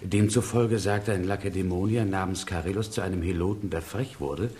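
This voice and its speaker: Measured, scholarly royal adviser played by a man, low voice, courteous and didactic radio drama delivery. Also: steady tone, a little mellow, close to an everyday voice. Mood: calm, admonishing. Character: learned, measured philosopher counselling a king. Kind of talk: German radio play acting.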